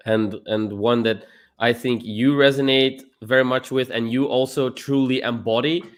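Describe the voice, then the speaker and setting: Earnest young man from Belgium. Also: mid-range voice. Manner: earnest, repeats words when starting sentences. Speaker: guest. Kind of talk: casual live-stream conversation.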